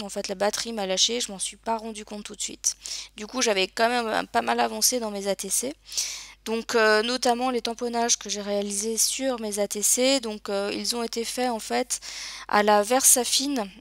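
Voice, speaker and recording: A woman's voice: medium pitch, fairly bright tone, dry recording. A woman narrating a crafting tutorial over her video, speaking hesitantly with many filler sounds. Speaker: crafting channel host.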